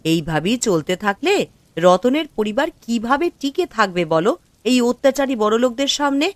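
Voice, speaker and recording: A woman's voice: a little high, normal speed, dry recording, natural voice. A woman playing a gossiping, indignant village woman in a cartoon story, with a complaining manner. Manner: animated, complaining. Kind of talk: Bengali cartoon story acting.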